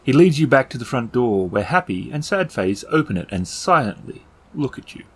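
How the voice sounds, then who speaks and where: A man's voice: mid-range voice, big pitch swings, moderate pace, fairly bright tone, slight background noise. A man narrating a tabletop roleplaying game as its game master. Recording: music bed under the voice. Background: music.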